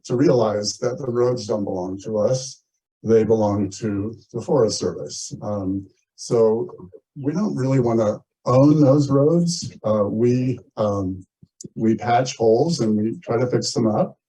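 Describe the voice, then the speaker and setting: A man's voice: mid-range voice, a little slow, dark tone, slightly rough. An American man chairing a community meeting, explaining things plainly and informally, heard in muffled audio. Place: community meeting, shared over video call.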